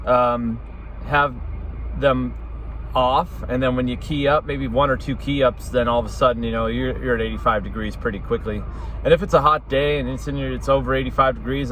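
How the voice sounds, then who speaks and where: A man's voice: mid-range voice, steady tone, a little fast, a bit bright, a little hiss or hum, rough recording. An American man giving a casual, rambling explainer in an informal, conversational way, like a hobbyist presenting a video.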